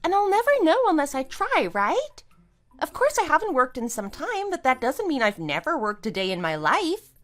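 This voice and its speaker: An actress playing a put-upon but persistent middle-aged wife who reasons defensively in a scripted audio drama. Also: female voice, high-pitched, huge pitch swings, normal speed, a bit bright, exaggerated voice. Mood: hopeful, persistent.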